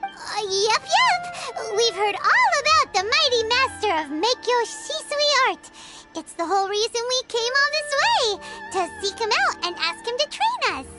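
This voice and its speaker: A woman voicing a small, chirpy, childlike fairy companion in a video game, eager and excitable. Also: extremely high pitch, measured pace, over loud music, full character voice. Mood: eager, flustered. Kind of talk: video game character dialogue.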